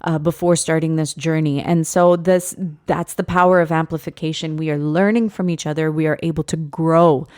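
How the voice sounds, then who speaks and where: A woman in her late twenties co-hosting a teachers' podcast, speaking earnestly and deliberately, repeating phrases for emphasis. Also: deep voice, fairly even intonation, darkish tone. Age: late 20s. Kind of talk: reflective podcast conversation.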